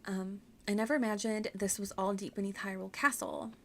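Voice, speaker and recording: A woman's voice: medium pitch, steady tone, brisk, fairly bright tone, a little hiss or hum. A woman streaming a video game with casual, rambling, self-deprecating and giggly chat. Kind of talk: casual livestream chat over gameplay.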